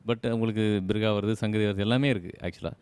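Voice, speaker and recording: Male talent show judge, slightly deep, encouraging and frank informal feedback. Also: fairly even intonation, fast, darkish tone, smooth, no echo. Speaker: singing competition judge. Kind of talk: informal spoken feedback to contestants.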